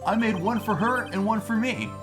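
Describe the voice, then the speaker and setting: A man's voice: high voice, normal speed, music in the background, close to an everyday voice. A man voicing a whiny, lovelorn young slacker with an overwrought, slangy delivery in a fan-dubbed game. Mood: eager. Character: melodramatic, whiny young male slacker, lovelorn best friend. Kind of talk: fan-dub video game dialogue.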